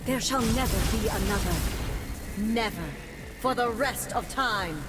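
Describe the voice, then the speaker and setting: A woman's voice: fairly high pitch, measured pace, lightly acted. A woman playing a solemn, imperious immortal warrior ruler in a video game, speaking in a stately, formal, commanding manner. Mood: fierce, imperious. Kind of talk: dramatic video game voice acting. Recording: loud music behind the voice.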